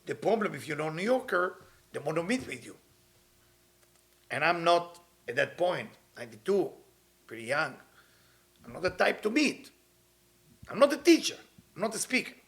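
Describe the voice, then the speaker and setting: Man in his 50s, slightly high voice, earnest teacher who repeats himself. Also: big pitch swings, normal speed, vocal fry, long pauses, a bit bright. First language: Hebrew. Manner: earnest, repeats phrases, non-native grammar. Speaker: kabbalah teacher. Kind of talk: weekly spiritual talk.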